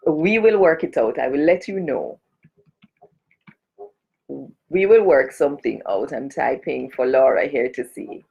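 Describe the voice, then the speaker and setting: A woman's voice: low-pitched, darkish tone, husky, low-quality recording. A woman from Jamaica chatting casually on a live stream as a cultural advocate, greeting and speaking straight to her viewers. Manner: chatty, greets and addresses viewers directly, gives blessings.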